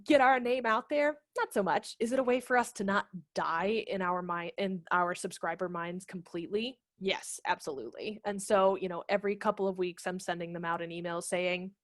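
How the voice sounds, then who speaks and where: A woman in her thirties talks casually as a guest on a video call. Her voice sits on the low side, and the headset mic gives it a close, dry sound. She explains things in an informal, conversational way. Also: normal speed, hardly any pauses, dry recording. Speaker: guest, theatre company managing director. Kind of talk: casual explanatory interview talk. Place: living room at home, video call. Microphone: headset microphone.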